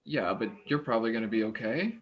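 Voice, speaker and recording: An actor playing an awkward, polite young hospital worker who hesitates and stammers in a naturalistic play reading. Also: male voice, on the low side, fast talker, muffled recording, close to an everyday voice. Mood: surprised, concerned. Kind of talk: naturalistic stage dialogue reading.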